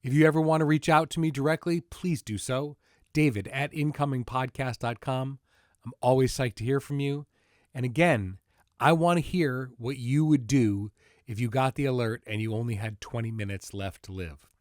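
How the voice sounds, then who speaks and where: A man's voice: mid-range voice, moderate pace, a little mellow, no echo. A man hosting a podcast and talking casually as a series creator, informal, with dry joking asides. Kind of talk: casual podcast introduction and conversation.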